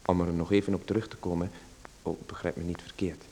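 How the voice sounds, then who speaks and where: An actor in a radio play voicing a timid young artist who hesitates, stammers and apologizes. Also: male voice, sits low, level tone, fast, warm tone, a little hiss or hum, natural voice. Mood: nervous.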